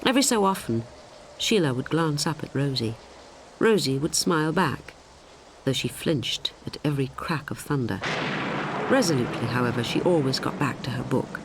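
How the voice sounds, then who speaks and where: A woman reading a suspense story as an audiobook narrator, in an expressive, measured storytelling manner. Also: a bass voice, background noise.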